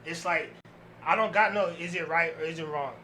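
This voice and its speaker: A man's voice: a little high, a little fast, pushed and bright, poor audio. A man on a panel arguing casually in a slangy, insistent way. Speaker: podcast panelist. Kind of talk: casual debate. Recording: faint background noise.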